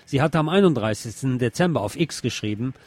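Male presenter, mid-pitched voice, reading scripted news commentary with music underneath. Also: animated, fairly quick, darkish tone, no echo.

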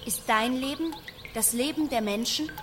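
Actress as high-pitched female AI, young, naive, blunt, literal questions, radio drama, music behind. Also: normal speed, natural voice. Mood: matter-of-fact. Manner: short, literal, matter-of-fact questions and statements. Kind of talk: German radio drama acting.

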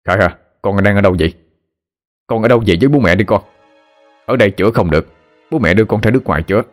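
A man's voice: fairly low pitch, rapid-fire, faint music behind, natural voice. A man voicing a tired, irritable middle-aged father who speaks curtly. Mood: urgent. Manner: curt and snapping.

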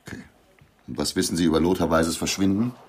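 An actor playing a polite, persistent investigator who asks measured questions in a radio drama. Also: male voice, low-pitched, slight background noise, close to an everyday voice. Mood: measured, probing.

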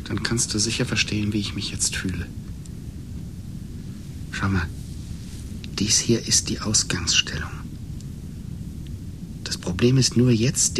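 Ernster, grüblerischer Mann, verrauschte Aufnahme. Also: male voice, lowish voice, noisy background. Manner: measured, serious, explanatory. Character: sober, brooding male chess player. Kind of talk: German radio play acting.

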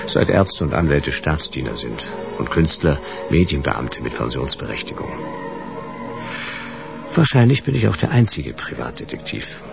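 A middle-aged man playing a world-weary private detective who narrates a German radio play in a laconic, deadpan way. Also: very deep voice, gravelly, heavily acted. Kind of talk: noir first-person radio play narration.

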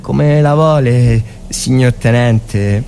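A man's voice: medium pitch, takes it slowly, vocal fry, mellow, a little hiss or hum. A man voicing a frightened, humble young Tuscan soldier who stammers and hesitates, in a dramatic war story reading. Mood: timid, pleading.

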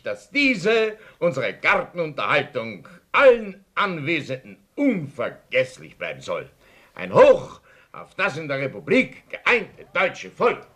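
A man's voice: fairly high pitch, fairly bright tone, heavily acted. A man playing a self-important party chairman in a radio drama, giving pompous, formal oratory to comrades.

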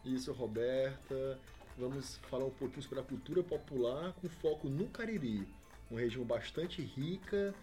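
A man's voice: medium pitch, moderate pace, dark tone, faint music behind. A man, a journalist colleague joining the podcast, speaking in an easygoing conversational way. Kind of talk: casual conversation.

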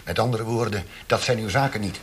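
An actor playing a menacing, mocking interrogator of a brutal regime in a radio play, sneering and theatrical, swinging from quiet threat to ranting. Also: male voice, fairly low pitch, fairly quick, a little hiss or hum, natural voice. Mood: quiet mockery. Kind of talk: radio drama acting.

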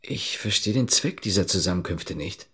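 A suspicious man with a low, rough-edged voice. He probes in terse, controlled phrases, methodical and wary, in a naturalistic radio drama. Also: expressive, moderate pace, fairly bright tone, full character voice. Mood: pressing. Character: suspicious, probing, methodical man interrogating a visitor.

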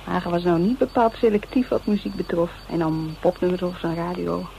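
A woman's voice: fairly low pitch, fairly even intonation, gravelly, warm tone. Shaken woman on a noisy recording. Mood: subdued. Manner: halting, colloquial, broken sentences. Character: shaken, grieving female secretary holding back tears. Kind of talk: naturalistic radio drama dialogue. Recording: dull, noisy radio drama recording.

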